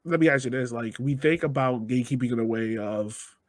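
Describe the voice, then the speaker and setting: A man's voice: medium pitch, a little fast, dry recording, studio quality. A man hosting a casual podcast interview, talking informally and thinking aloud as he frames his questions. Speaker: podcast host.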